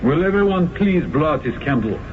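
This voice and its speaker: An actor playing a smooth, manipulative leader of a secret society, in a 1970s radio drama. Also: male voice, fairly high pitch, expressive, a little fast, natural voice. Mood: commanding. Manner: smooth, commanding, softly menacing. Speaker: villain. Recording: tinny lo-fi radio broadcast with hiss.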